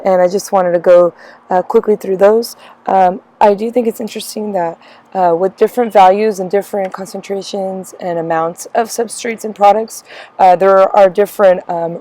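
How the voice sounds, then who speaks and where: A woman's voice: mid-range voice, mellow, rough recording. A young woman who is losing her voice, giving a class presentation with frequent ums and a loose, rambling delivery. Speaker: student presenter.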